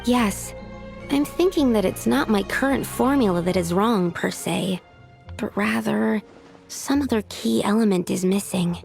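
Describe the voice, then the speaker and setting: A woman's voice: medium pitch, measured pace, creaky, music in the background. A woman playing a studious, precise young alchemist in a video game, speaking methodically and earnestly. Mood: earnest, explanatory. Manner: methodical, explanatory, slightly hesitant.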